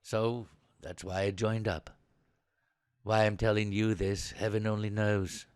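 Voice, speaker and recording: An actor playing a posh, haughty young British soldier in an audio drama, with an upper-class English accent and a clipped, sarcastic way of talking. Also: male voice, mid-range voice, expressive, normal speed, dry recording, clean studio sound, lightly acted. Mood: subdued, confiding.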